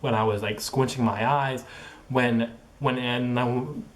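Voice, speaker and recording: A man's voice: medium pitch, steady tone, rough recording. A young man who stutters, talking casually in a personal vlog, with repeated sounds, blocks and many fillers like "like" and "um". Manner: filler-heavy, halting phrasing with many likes and ums. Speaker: vlogger.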